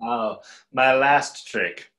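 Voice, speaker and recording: A man's voice: mid-range voice, very slow, a bit bright. A young man playing a skeptical stage conjurer in an online play reading, with a dry, sardonic delivery. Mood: wry. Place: small room at home, video call.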